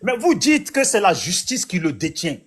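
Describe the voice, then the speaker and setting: High-pitched guy rambling and flustered, keeps repeating himself in a heated online debate. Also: sing-song, moderate pace, fairly bright tone, breathy edge. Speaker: debate participant.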